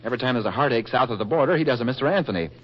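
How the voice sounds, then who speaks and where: A man playing a brisk American reporter in a 1940s radio comedy. He rattles along quickly with lively, teasing inflection, and the old recording is muffled. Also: medium pitch, lightly acted. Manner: breezy, dry, teasing.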